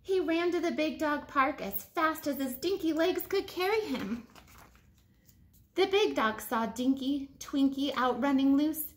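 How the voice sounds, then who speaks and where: A woman in her thirties reading a picture book aloud to children like a teacher, with expressive, emphatic phrasing. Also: high-pitched, measured pace. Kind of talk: children's storybook read-aloud. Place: living room at home, indoors.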